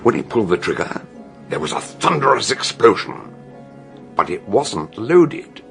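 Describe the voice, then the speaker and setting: A shrewd older British detective in a radio murder mystery. His low voice creaks with gravel and swings widely as he lays out each deduction theatrically, lingering on dramatic pauses. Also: big pitch swings, a bit bright, faint music behind, exaggerated voice. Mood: relishing, dramatic.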